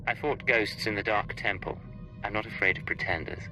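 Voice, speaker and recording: An actor playing a cool, confident secret agent in a video game, controlled and terse. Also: male voice, lowish voice, steady tone, fairly quick, over music, close to an everyday voice, low-quality recording. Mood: cool, assured.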